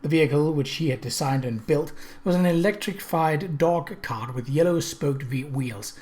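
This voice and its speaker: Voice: medium pitch, normal speed, a little mellow. A narrator reading a novel aloud as scripted story narration. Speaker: audiobook narrator.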